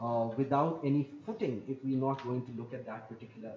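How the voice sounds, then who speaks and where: A man from Pakistan, speaking on an online panel in a measured, lecturing way and repeating phrases for emphasis. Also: medium pitch, warm tone, a little hiss or hum. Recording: muffled lo-fi audio.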